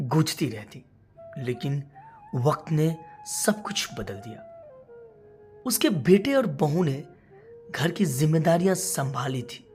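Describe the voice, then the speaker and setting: A woman narrating a scripted, emotional bedtime story in deliberate phrases with frequent pauses. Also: deep voice, darkish tone.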